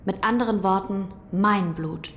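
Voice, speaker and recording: A woman playing a gentle, thoughtful young noble boy in an audio drama, soft-spoken and formal. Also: mid-range voice, measured pace, a little hiss or hum, close to an everyday voice. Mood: pensive, resolved. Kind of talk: German audio drama acting.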